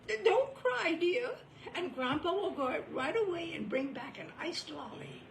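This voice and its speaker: A woman's voice: extremely high pitch, expressive, a little slow, roomy sound, noisy background, voice pushed up, heavily acted. A woman putting on a cooing, doting grandpa. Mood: soothing.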